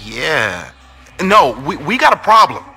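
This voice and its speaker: Brash gang lieutenant, very forceful tone, animated pitch, jealous edge, video game acting. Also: male voice, takes it slowly, husky, full character voice. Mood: urgent. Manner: indignant, slangy.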